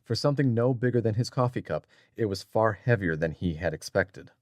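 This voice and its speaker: A man's voice: fairly low pitch, animated, a little fast, darkish tone, no room sound, clean studio sound. A man narrating a dramatic audiobook from a script as a storyteller.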